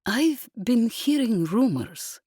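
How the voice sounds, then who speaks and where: A woman narrator plays a female university teacher in an audiobook. She is thoughtful and speaks slowly, weighing her words deliberately. The sound is very dry. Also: on the high side, very animated, warm tone, slightly rough, no room sound, voice pushed up, full character voice. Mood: cautious. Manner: slow, deliberate.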